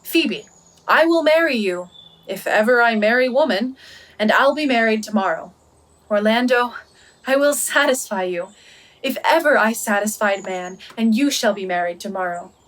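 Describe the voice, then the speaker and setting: A young actress playing a quick-witted heroine in boy's disguise, with a fairly high voice. She delivers Shakespearean radio drama lines in a playful, articulate way. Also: expressive, fairly bright tone, far from the mic, low-quality recording. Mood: brisk, commanding. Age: young adult. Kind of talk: Shakespearean radio play acting.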